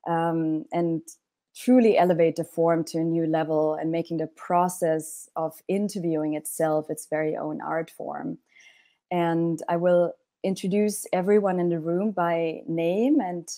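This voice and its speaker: A woman's voice: on the low side, dark tone. A woman with a German accent moderating a panel over a video call, welcoming and with frequent ums, speaking into an earphone mic from a room at home.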